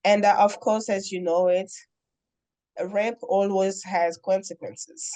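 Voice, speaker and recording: A woman's voice: medium pitch, steady tone, mellow. Earnest woman telling her story. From Democratic Republic of Congo. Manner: earnest, deliberate, with frequent pauses and fillers. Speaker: guest, nonprofit founder. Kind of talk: interview storytelling.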